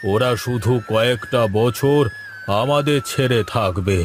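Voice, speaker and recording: A man playing a sorrowful, gently commanding father and master in a melodramatic cartoon, speaking earnestly. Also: medium pitch, measured pace, a little hiss or hum, natural voice. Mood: consoling.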